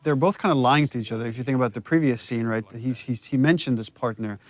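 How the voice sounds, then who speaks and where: A man's voice: mid-range voice, fast, dry recording. A man giving casual, reflective director's commentary over game cutscenes in a conversational way.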